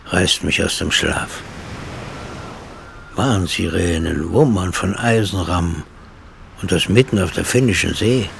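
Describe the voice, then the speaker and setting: Radio play dreamer: deep, slow, deliberate man narrating an eerie vision in clipped phrases. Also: gravelly, noisy background, heavily acted. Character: man vividly recounting his eerie dream. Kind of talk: dramatic radio play monologue.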